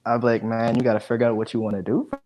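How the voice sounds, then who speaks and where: A man's voice: medium pitch, little pitch movement, racing, a little mellow, faint music behind. A man, chatting casually as an interview guest on a video call from home, often repeating words as he goes. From the Bahamas. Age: 20s. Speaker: guest, digital marketing specialist. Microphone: earphone headset.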